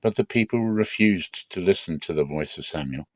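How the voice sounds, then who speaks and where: A man narrating a dramatic scripture reading in a solemn, deliberate manner. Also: deep voice, expressive, no room sound, studio quality.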